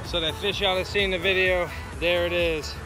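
A man's voice: high voice, level tone, a little fast, over loud music, poor audio. A man talks informally to viewers as a fishing vlogger, recorded outdoors on a body-worn camera. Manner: informal, colloquial. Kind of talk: casual chat to viewers. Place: outdoors by a park pond.

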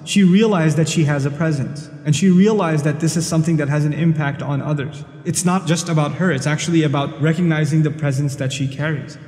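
A man's voice: fairly high pitch, darkish tone. A man giving an earnest religious talk, explaining scripture and reciting Arabic verses. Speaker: Islamic lecturer. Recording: roomy hall with long reverb.

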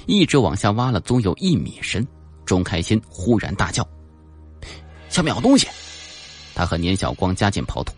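Deep-voiced male suspense narrator. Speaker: audiobook narrator. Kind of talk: dramatic scripted suspense narration. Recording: faint background music.

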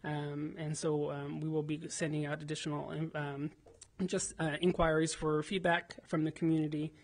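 A city staff member giving a report to the council at a podium, full of ums and restarts. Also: male voice, on the high side, little pitch movement, moderate pace. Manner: hesitant, many ums and restarts.

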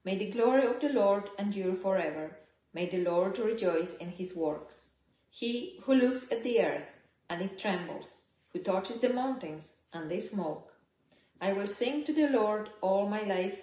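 A woman's voice: mid-range voice, expressive, a little slow, mellow. Middle-aged woman reading scripture, echoey room. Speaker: scripture reader. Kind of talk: read-aloud Bible passage. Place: living room, seated indoors. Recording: roomy indoor home recording.

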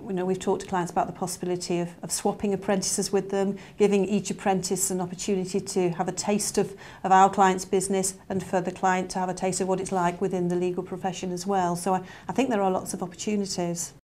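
A middle-aged British woman, a lawyer, answering interview questions in a measured, professional way. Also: mid-range voice, little pitch movement, darkish tone, slight background noise. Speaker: lawyer at a law firm. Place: small plain indoor room.

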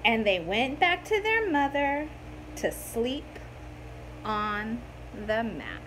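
A high-voiced woman in middle age reads a picture book to children. She is very animated and unhurried, with an expressive delivery. There is faint noise in the home recording. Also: rough recording. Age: middle-aged. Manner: expressive, asking the listeners questions. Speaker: storybook reader for children. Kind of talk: animated children's read-aloud. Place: room at home, indoors.

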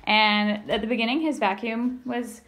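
A woman's voice: fairly high pitch, steady tone, normal speed, a bit bright. A young woman, chatty and upbeat, vlogging a home renovation on a phone camera while laughing often. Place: empty house, indoors. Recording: echoey empty rooms, noisy.

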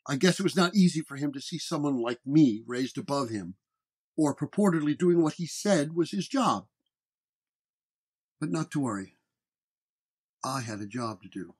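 A man reading a scripted first-person detective horror story in a measured storytelling manner. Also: a little high, lively intonation. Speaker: narrator.

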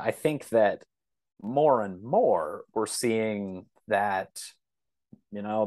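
A man's voice: lowish voice, no room sound. A man talking casually as a podcast guest, explaining things through examples and rambling asides. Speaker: podcast guest, science fiction author.

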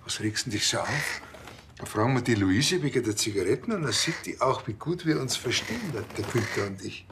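Naive Bavarian cop, mid-pitched voice, colloquial, matter-of-fact, TV comedy. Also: male voice, expressive, fairly quick, fairly bright tone, a little hiss or hum. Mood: defensive. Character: earnest, naive male small-town policeman. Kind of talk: TV crime comedy acting.

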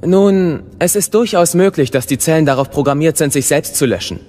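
A man's voice: fairly high pitch, brisk, a little mellow, long reverb tail, lightly acted. An actor playing an impatient, curious young male apprentice warrior in an audio drama, brusque and insistent.

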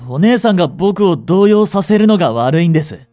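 An actor playing a young genius swindler in a romantic audio drama, lovestruck, jealous and boyishly flustered, speaking politely. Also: male voice, sits high, lively intonation, a little fast, warm tone, no echo, studio quality, soft background music. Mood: hurt, jealous. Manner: polite speech, stammering when flustered. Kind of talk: romantic audio drama acting, addressed to the listener.

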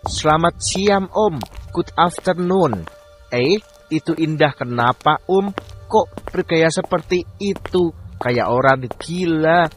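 An actor playing a polite young suitor in an animated cartoon. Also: male voice, on the high side, takes it slowly, soft background music.

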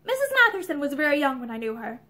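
A woman voicing a reserved, quietly bitter man, measured and restrained, in a story. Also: sits high, very animated, heavily acted. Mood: composed. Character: reserved, guarded male former sweetheart, quietly bitter.

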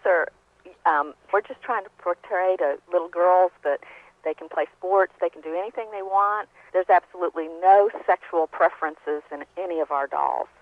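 A woman's voice: fairly low pitch, normal speed. A woman speaking politely as a customer service representative during a phone conversation. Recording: tinny narrowband telephone line.

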